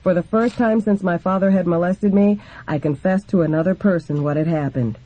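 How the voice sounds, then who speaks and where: A man's voice: high voice, a little fast, mellow. A man reading a memoir aloud as scripted narration, in a dull lo-fi recording. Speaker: reader of a book.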